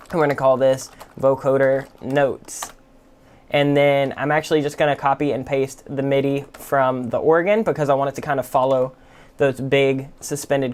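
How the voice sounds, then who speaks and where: Man, mid-pitched voice, informal tutorial delivery, conversational. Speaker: music production tutorial host. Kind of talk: casual software tutorial. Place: indoors at a computer.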